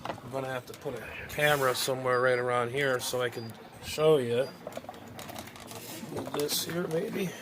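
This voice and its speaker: Man rambling casually over loud background noise. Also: mid-range voice, fairly even intonation, moderate pace. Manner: rambling, informal, mild swearing. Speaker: amateur radio hobbyist demonstrating gear. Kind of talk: casual off-the-cuff gear demo. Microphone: handheld camera microphone. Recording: loud radio static and hiss, handheld camera.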